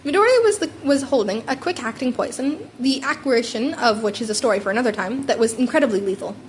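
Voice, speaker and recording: A woman's voice: fairly high pitch, expressive, fast talker. A woman reading a story aloud as an amateur narrator, stumbling and rereading words now and then. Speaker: amateur fan fiction narrator. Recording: home recording with background noise.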